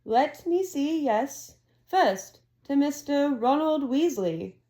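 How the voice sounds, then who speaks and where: A woman reading aloud as an old, wise male headmaster, speaking in a calm, measured, formal way as he announces to a hall. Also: extremely high pitch, voice pushed up, heavily acted. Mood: formal, kindly.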